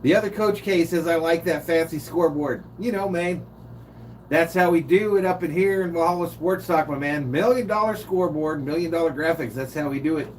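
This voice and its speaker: A man's voice: a little high, fairly quick, a little mellow. A man hosting a live stream, giving casual play-by-play and reading viewer comments aloud. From California. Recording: distant mic with faint noise.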